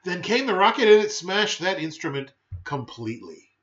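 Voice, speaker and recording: A man with a fairly high voice reads a children's storybook with very lively, swooping intonation. His delivery is playful and emphatic, moving between rhyme and chatty asides. The sound is slightly dull. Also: normal speed. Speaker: storyteller reading a children's picture book. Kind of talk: expressive rhyming storybook narration with brief chatty asides.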